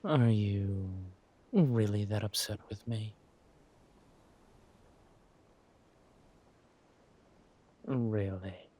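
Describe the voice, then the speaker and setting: Man playing a very slow, suave villain king. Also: lowish voice, dark tone. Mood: teasing. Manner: slow, halting, purring hums and teasing drawl. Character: suave, ruthless villain king, affectionate with his wife. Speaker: roleplay audio performer. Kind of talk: villain roleplay audio drama, intimate monologue to a partner.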